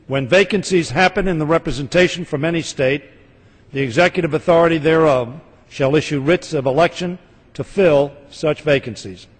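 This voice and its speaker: Higher-voiced American man reading formally, reverberant hall. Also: moderate pace, husky. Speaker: US congressman reading aloud. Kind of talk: formal read-aloud of a legal text. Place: large indoor legislative chamber. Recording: reverberant legislative chamber.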